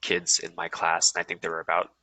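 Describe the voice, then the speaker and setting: Casting: young Californian man, deep but bright tone, rambling guest talk, dry close sound. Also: quick pace, dry recording. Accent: Californian American. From USA. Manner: relaxed, rambling, many ums and restarts. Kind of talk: casual interview chat.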